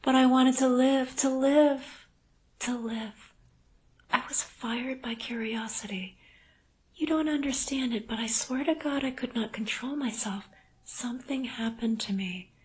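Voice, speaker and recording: A woman reads this part as an anguished young wife in an audiobook, her voice lifted a little higher than the narration. She pleads in an emotional rush, full of remorse. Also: close to an everyday voice. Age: young adult. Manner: pleading, emotional, breathless urgency. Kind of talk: audiobook character voice.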